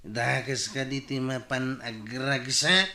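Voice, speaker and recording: A man's voice: mid-range voice, steady tone, takes it slowly, bright, forceful tone, echoey room, exaggerated voice. A man playing a foolish, stubborn villager in an Ilocano radio comedy drama, plaintive and argumentative.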